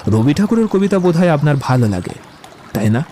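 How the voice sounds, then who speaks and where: An actor playing a witty, poetry-loving young romantic suitor in an audio drama, with eloquent, theatrical delivery. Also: male voice, on the high side, very animated, a little fast, mellow, slight background noise. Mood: playful, persuasive. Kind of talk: Bengali audio drama acting.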